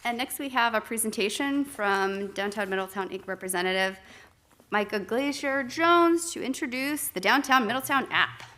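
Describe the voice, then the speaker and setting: A woman presiding at a city council meeting, briskly announcing the next item on the agenda. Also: on the high side, animated, sharp tone.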